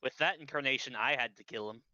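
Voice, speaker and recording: A man's voice: medium pitch, fast talker, pushed and bright, no echo. A man chatting casually as a game player on a call, chiming in briefly, with dull lo-fi sound. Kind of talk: casual chat, brief interjections.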